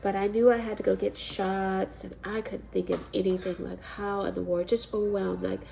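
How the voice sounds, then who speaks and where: A woman's voice: medium pitch, level tone, normal speed, gravelly, warm tone, a little hiss or hum, low-quality recording. A woman with a cold and a stuffy, congested voice, chatting casually as a missionary telling her story, rambling with ums and little laughs. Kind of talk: casual personal testimony vlog.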